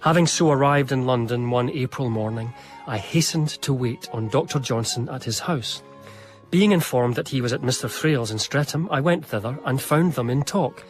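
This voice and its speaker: An actor playing an earnest, devoted biographer who narrates a radio drama in a soft, admiring tone. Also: male voice, mid-range voice, normal speed, soft background music, natural voice.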